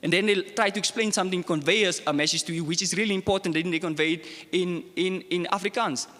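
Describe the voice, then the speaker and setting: A man's voice: high voice, fast talker, sharp tone, long reverb tail. A young man speaking earnestly as a student panelist, often saying "like" and growing heated as he makes his points. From South Africa. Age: young adult. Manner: earnest, grows heated, many fillers. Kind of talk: panel discussion.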